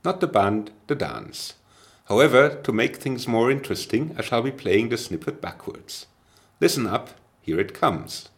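A man's voice: medium pitch, very animated, moderate pace, vocal fry. A man hosting a podcast, interviewing a guest and reading wry scripted announcements. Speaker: podcast host.

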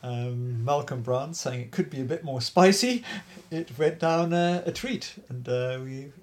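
A man chatting in an interview about organizing a dance event, halting with many restarts and laughs. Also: mid-range voice, animated, darkish tone. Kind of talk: casual interview chat.

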